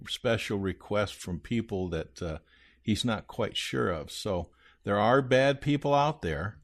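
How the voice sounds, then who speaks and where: A man playing a kindly old Santa Claus on a children's radio-style podcast, talking in a rambling, hesitant, folksy way. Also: mid-range voice. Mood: gentle, cautionary.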